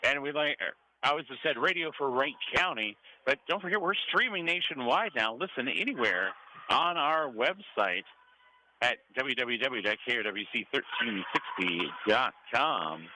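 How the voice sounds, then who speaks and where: A man's voice: mid-range voice, lively intonation, normal speed, bright, forceful tone. A man with an American accent giving live radio play-by-play of a volleyball match. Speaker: radio play-by-play sports announcer. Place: school gym during a match. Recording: clearly noisy gym ambience behind voice. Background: gym noise.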